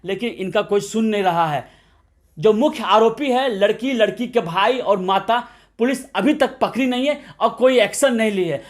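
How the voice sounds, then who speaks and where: A man reporting from the field, speaking to viewers in an emphatic, dramatic way full of rhetorical questions. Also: sits high. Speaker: news reporter. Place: at a family's home.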